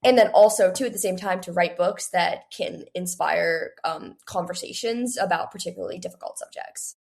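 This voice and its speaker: A woman's voice: fairly low pitch, normal speed, gravelly, a little mellow. An eighteen-year-old woman speaking casually and earnestly as a young author being interviewed, chatting with frequent use of "like".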